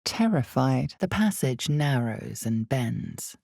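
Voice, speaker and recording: A woman playing the ominous, measured storyteller of a dark fantasy game, narrating dramatically with deliberate, lingering pauses. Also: rumbling low, sing-song, creaky, dry recording, studio quality, heavily acted. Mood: ominous, grave.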